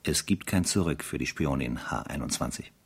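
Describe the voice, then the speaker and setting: A foreboding, reflective officer narrates his diary for a radio play. The voice is deep and slightly rough, solemn and measured with weighty pauses.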